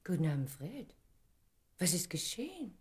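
A woman's voice: fairly low pitch, sing-song, normal speed, darkish tone, husky, full character voice. An actress playing a poised, probing society lady in a German radio play, politely cool and pointed in her replies.

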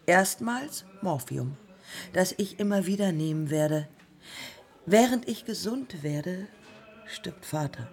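An actress playing a defiant, haunted early woman pilot in a German radio play, speaking in terse, deliberate fragments. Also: on the low side. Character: pioneer woman aviator, haunted, defiant, morphine-addicted.

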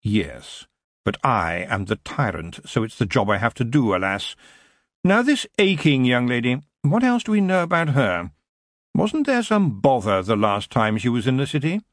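A man voicing a wry, coolly commanding tyrant in an audiobook, dry, measured and understated. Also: mid-range voice, very animated, no echo, close to an everyday voice.

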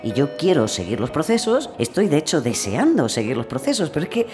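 A woman's voice: sits low, sing-song, fairly quick, darkish tone, faint music behind. An actress playing a polite but exasperated woman battling bureaucracy in a satirical radio drama, hesitant, apologetic and frustrated. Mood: flustered, exasperated. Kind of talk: naturalistic satirical radio fiction acting.

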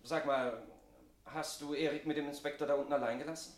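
An actor playing a wry, casual brother with a teasing manner, in a German 1960s radio play. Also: male voice, on the high side, fairly even intonation, a little fast, natural voice. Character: wry, relaxed brother sharing suspicions.

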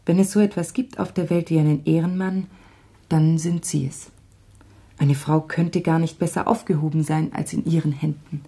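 An actress playing a frank, tender lady of old France in a radio play, speaking in a measured, heartfelt way. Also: low-pitched, dark tone, breathy edge, heavily acted.

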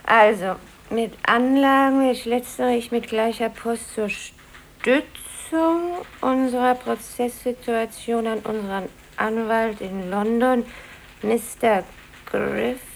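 A woman's voice: fairly high pitch, fairly even intonation, slow pace, creaky. A woman acting an impatient, snippy office stenographer in a 1950s German radio play, curt and grumbling. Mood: grudging. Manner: curt, grumbling, recites dictation mechanically. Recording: noisy 1950s radio recording.

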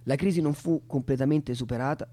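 A man performs as a stage narrator. He recites in a theatrical, dramatic style, with a voice of medium pitch for a man. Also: a little fast, dark tone.

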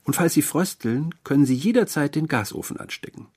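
A man's voice: on the high side, expressive, brisk, a little mellow, pitched above the performer's own voice. A man voicing a sweet, doting but eerie old landlady, gushing and overly polite, in an audiobook. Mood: fussy, welcoming. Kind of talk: audiobook character voice.

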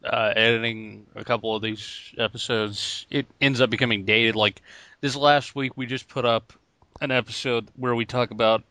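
A man's voice: medium pitch, steady tone, normal speed, pushed and bright, no room sound. A man co-hosting a podcast in casual joking banter, laughing often. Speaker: podcast co-host. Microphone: podcast mic.

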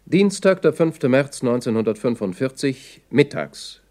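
A man's voice: mid-range voice, animated, measured pace, a little mellow. A man narrating a 1960s German radio play as a sober chronicler, with formal scripted announcing.

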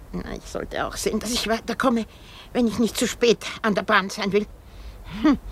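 A woman's voice: fairly high pitch, fairly bright tone, a little hiss or hum. An actress playing an old, self-pitying, hysterical married woman in a radio drama, rambling theatrically with sighs and exclamations. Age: older.

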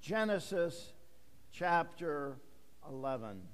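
A middle-aged male pastor preaching in a church, his fairly high voice ringing out through a long, echoing reverb. He takes his time, speaking slowly, and his delivery stays conversational, peppered with asides and rhetorical questions. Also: big pitch swings. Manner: conversational, jokes with the congregation, asks rhetorical questions. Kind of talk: sermon. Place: church sanctuary. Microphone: handheld or lapel microphone, recorded for a booth.

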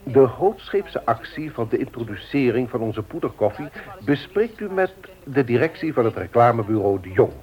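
A man acting a haughty company director in a muffled vintage radio play. His speech is formal and sardonic, with a lively, swinging pitch. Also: normal speed, natural voice. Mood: patronising. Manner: formal, authoritative, condescending.

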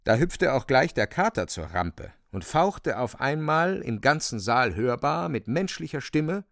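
A male radio-play narrator with a gravelly, very lively delivery. He tells the story wryly and with relish, close and dry. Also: medium pitch, measured pace, no echo.

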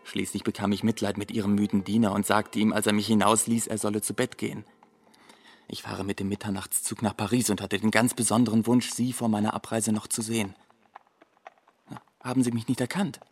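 An actor playing an earnest, morally concerned gentleman painter in a radio drama, with an urgent, formal way of speaking to his friend. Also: on the low side, flat delivery, brisk, natural voice. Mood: friendly, eager.

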